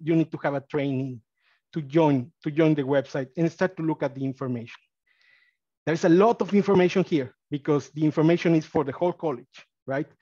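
A man's voice: fairly high pitch, no echo. Man from mexico presenting on a call, spanish accent, lots of uhs, kinda dull dry sound. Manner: halting, many fillers and restarts, gets excited. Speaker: college data analyst presenter. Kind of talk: webinar presentation with screen share. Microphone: computer mic on video call. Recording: dry, slightly dull video-call audio.

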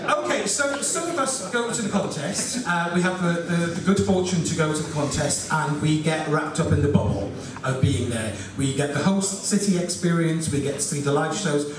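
A man's voice: on the high side, moderate pace, hardly any pauses. A man hosting a live panel Q&A, teasing and laughing with his guests. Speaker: panel host. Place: event hall with audience. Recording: echoey hall, distant mic, audible noise.

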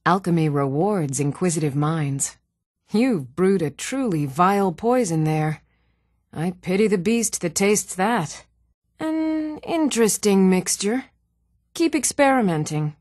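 Even-tempered fantasy townswoman, lively and dry. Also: fairly low pitch, unhurried, no echo. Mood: approving. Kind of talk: video game character dialogue.

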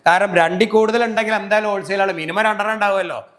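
A man's voice: high voice, fairly even intonation, fast talker, fairly bright tone. A middle-aged man, hosting a casual promotional product interview in a talkative way, often prompting with tag questions. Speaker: video host interviewing a salesman.

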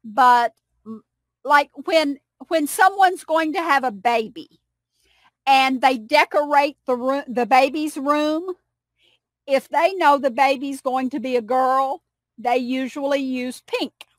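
An older woman from Kentucky with a Kentucky accent, chatting slowly on a video call through a headset and explaining with many restarts. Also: high voice, no echo. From United States. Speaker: English conversation partner. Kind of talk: casual video call chat. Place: home room indoors.

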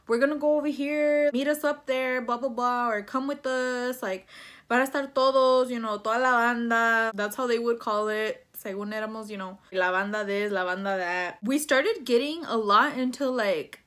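A woman's voice: on the high side, fairly bright tone. A woman, telling a personal story in a casual, slangy way, slipping between English and Spanish. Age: 20s. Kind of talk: casual storytime chat to camera. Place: small plain room indoors.

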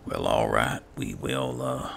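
A man casually narrating roleplay gameplay in character, muttering in a low-key way and trailing off. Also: slow pace, vocal fry, fairly bright tone, a little hiss or hum. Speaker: gamer playing in character.

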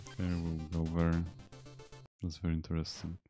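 A young man from Poland with a Polish accent, chatting casually while streaming a game, halting and correcting himself as he reads chat aloud. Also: low-pitched, completely flat delivery, dark tone. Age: young adult. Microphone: desk microphone. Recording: game music behind. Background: faint game music.

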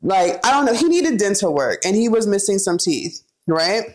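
A woman's voice: medium pitch, very animated, moderate pace, a little mellow. Chatty young woman gossiping while cooking. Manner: chatty, gossipy, frequent "like" and "you know", laughs while talking; cooks while talking, narrating kitchen steps. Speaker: vlogger cooking and storytelling.

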